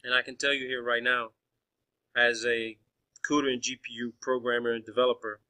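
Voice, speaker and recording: A man's voice: medium pitch, flat delivery, fairly quick, sharp tone. A man arguing in a phone conversation as a caller, pressing his points insistently and often restarting his phrases. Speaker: caller questioning a space agency official.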